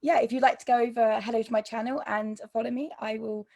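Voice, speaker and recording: Croaky-voiced woman telling her story. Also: on the high side, steady tone, fast, mellow, no room sound. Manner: hesitant, many ums and restarts. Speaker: interview guest, mental health YouTuber. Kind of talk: personal story in conversation.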